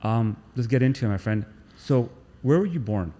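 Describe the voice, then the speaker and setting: A man's voice: mid-range voice, expressive, moderate pace, mellow, in a large hall. A man hosting a podcast, effusive and eager, repeating himself as he thanks his guest and pushes follow-up questions. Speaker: podcast host. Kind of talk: casual interview.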